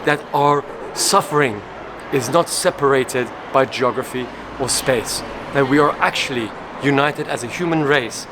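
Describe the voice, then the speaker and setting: A man's voice: mid-range voice, measured pace. Earnest man from Iran, noisy backdrop. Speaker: co-founder of an advocacy group. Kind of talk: conference interview statement. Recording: loud background noise.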